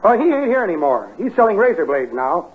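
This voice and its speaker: Brash male street seller, very high voice, animated folksy patter, vintage radio comedy. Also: fast talker. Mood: brisk. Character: brash, hard-nosed male Christmas tree seller. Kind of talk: 1950s radio comedy acting. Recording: tinny 1950s radio recording.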